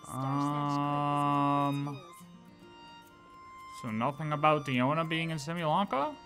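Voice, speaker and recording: A man's voice: a little high, slow pace, music in the background. A man livestreaming a video game, chatting casually and thinking aloud in slangy, offhand asides.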